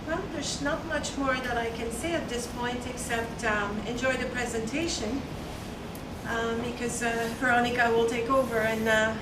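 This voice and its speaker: Woman, event host, halting with fillers, distant mic, heavy background noise. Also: fairly high pitch, fairly even intonation. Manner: halting, full of fillers, with light laughs. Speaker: event host introducing the speaker. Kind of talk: introduction of a speaker. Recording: distant, echoey, very noisy room recording.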